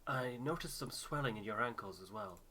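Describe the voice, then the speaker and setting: A man acts out an earnest doctor on a game's polar expedition. He is careful and restrained, speaking formally in a very bright voice. The manner is measured and concerned. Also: medium pitch, expressive, husky. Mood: grave, concerned.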